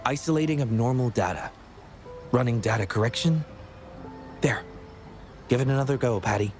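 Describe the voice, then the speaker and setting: A man playing a gentle, modest young scientist in a video game, speaking in a polite, measured, reassuring way. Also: medium pitch, faint music behind, natural voice. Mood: calm, focused. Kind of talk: video game character acting.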